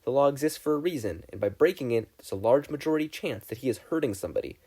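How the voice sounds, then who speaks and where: A man's voice: mid-range voice, lively intonation, fast, mellow, slightly rough. A man voicing a blunt, stoic teenage boy student in a story reading, formal and firm. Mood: firm, disapproving.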